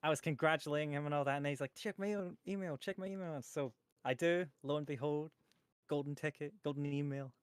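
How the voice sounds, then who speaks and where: A man with a fairly high voice tells a story in a casual chat. He is animated and acts out the lines he quotes. The recording is dry and close. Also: fast, smooth, dry recording. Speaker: voice actor.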